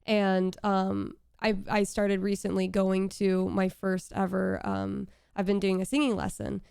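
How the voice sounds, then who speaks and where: Young woman host, raspy fry voice, crisp dry close-mic sound, excitable chat. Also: mid-range voice, measured pace, no room sound. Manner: bubbly, excitable. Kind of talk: casual interview chat. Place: home streaming room. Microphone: studio mic with headphones.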